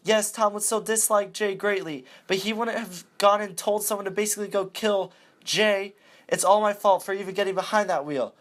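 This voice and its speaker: A woman's voice: medium pitch, moderate pace, lightly acted. A young woman playing an evasive young socialite woman in a student radio play. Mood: guilty. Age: young adult.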